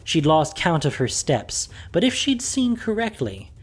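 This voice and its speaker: A man narrating a fantasy audio story in a scripted, expressive storytelling manner with dramatic pauses. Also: fairly high pitch, slightly rough.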